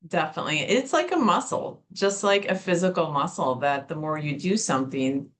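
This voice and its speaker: Low-voiced woman host, faint hiss. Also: animated, moderate pace. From Wisconsin. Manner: affirming, agrees readily and adds own experience. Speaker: podcast host. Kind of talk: casual interview conversation.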